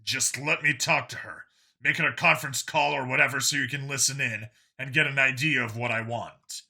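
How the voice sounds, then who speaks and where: A man's voice: mid-range voice, fairly even intonation, brisk, gravelly, pushed and bright, breathy edge, full character voice. A man voicing a terse, weary human detective in a sci-fi story reading. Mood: determined. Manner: terse, grumbling. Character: Vincent, gruff weary human detective on dangerous missions.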